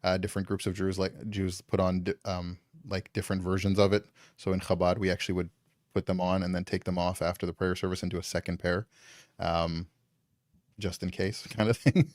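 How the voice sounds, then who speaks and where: Deep voiced guy from canada rambling along, lots of um and like, dry close sound. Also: level tone, brisk, darkish tone, no echo. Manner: loose and rambling, full of ums and likes. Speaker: guest. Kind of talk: casual conversation.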